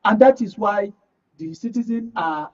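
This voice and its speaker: High male voice, northern Nigerian accent, emphatic political commentary, repeated phrases, muffled audio. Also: unhurried, a little mellow. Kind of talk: political discussion on a talk show. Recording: muffled, distant microphone.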